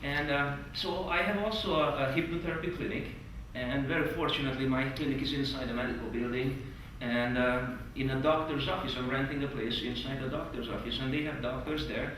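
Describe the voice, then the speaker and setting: A man with a Hungarian accent teaching a stage hypnosis course to a group, earnest and eager, with non-native phrasing and lively emphasis. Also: mid-range voice, brisk, few pauses, slight background noise. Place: large hotel meeting room. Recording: echoey hall recorded from far off.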